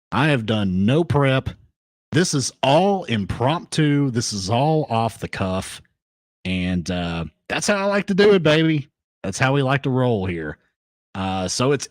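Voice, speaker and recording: A man hosting a solo podcast, talking off the cuff with hyped banter and shout-outs to his chat, into a compressed studio microphone. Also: medium pitch, dry recording. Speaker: podcast host.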